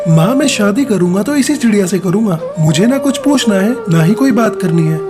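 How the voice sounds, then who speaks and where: A woman's voice: medium pitch, animated, brisk, natural voice. A woman voicing a young male pigeon in a cartoon story, a caring and generous friend. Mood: determined. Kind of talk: Hindi cartoon moral story acting. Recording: music behind the voice.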